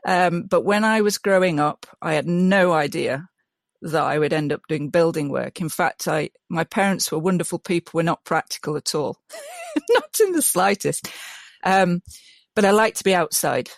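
A woman's voice: on the low side, sing-song, normal speed, creaky, dry recording. Enthusiastic woman, dry close sound. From Yorkshire, England. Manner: enthusiastic, restarts often, many ums. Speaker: guest, mud mason. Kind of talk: casual interview chat.

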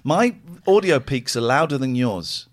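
Male co-host, lively pitch, jokey and scattered, casual banter. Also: measured pace. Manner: animated, self-interrupting, joking. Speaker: podcast co-host reading stories.

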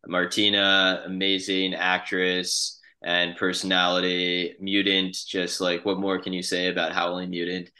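A man's voice: low-pitched, monotone, a little slow, few pauses, sharp tone. An American man co-hosting a podcast, chatting casually in an agreeable, encouraging way. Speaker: podcast co-host.

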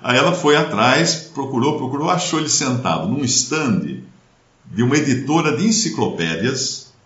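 A man's voice: mid-range voice, moderate pace. Man, Brazilian accent, middle-aged, storytelling host, echoey room, muffled sound. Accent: Brazilian Portuguese. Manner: lively storyteller, animated sound effects. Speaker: podcast host and speaker. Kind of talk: relaxed career chat with anecdotes. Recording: roomy, dull-sounding.

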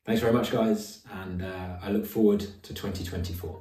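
Male host intro, dark tone, echoey room, mic far away. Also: lowish voice, fairly even intonation, faint music behind. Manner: measured, hesitant with ums. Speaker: podcast host. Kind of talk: recorded episode intro. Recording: roomy echo, distant from mic.

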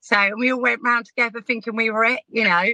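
Brief: woman, 50s, podcast guest, laughs through her stories, bright tone. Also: fairly high pitch, steady tone, fast talker, no echo. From Southampton, England. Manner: chatty, frank, laughs while telling stories. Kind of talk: casual storytelling chat.

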